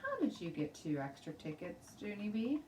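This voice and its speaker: A woman reader puts on a stern female schoolteacher from a children's story. She questions and orders pupils about with suspicion, her pitch moving up and down sharply. The sound is roomy and a little distant from the mic. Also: huge pitch swings, moderate pace, a little mellow, a little hiss or hum. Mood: suspicious.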